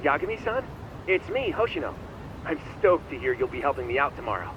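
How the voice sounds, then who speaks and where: A man's voice: sing-song, fairly quick, close to an everyday voice. An actor playing an eager, upbeat young male colleague in a video game, speaking with enthusiasm. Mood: eager, friendly. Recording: dull lo-fi sound, clear traffic rumble.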